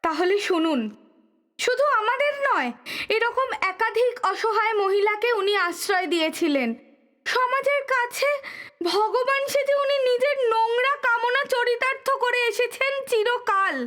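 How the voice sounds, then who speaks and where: A woman playing a bitter, grieving young survivor of abuse in an audio drama, with emotional, halting delivery. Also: very high voice, pushed and bright, exaggerated voice. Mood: bitter, accusing. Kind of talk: Bengali audio drama acting.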